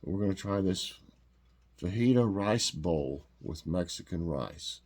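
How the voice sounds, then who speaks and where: Man, very low voice, stuffed-up nasal sound, rambling homey chat, lots of ums. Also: lively intonation, a little slow, darkish tone. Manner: rambling, homey, full of fillers and restarts. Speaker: vlog host. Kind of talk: casual morning chat and taste test.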